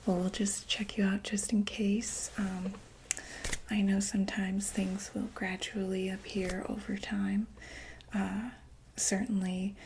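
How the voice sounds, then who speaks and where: A woman playing a calm doctor for monsters, asking gentle, patient questions in a soft unvoiced whisper for an ASMR roleplay. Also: mid-range voice, creaky, a little hiss or hum.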